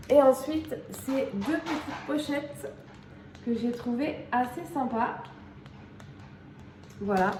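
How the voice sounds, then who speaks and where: Chatty woman with a cold, higher voice. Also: darkish tone, a little hiss or hum. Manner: chatty, many hesitations. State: has a cold. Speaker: vlogger. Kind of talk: casual home vlog narration. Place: home. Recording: echoey room.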